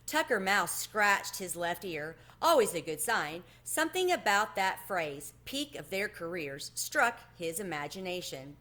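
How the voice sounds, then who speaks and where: A woman reading a children's story aloud in an expressive storytelling style. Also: lowish voice, measured pace, hardly any pauses.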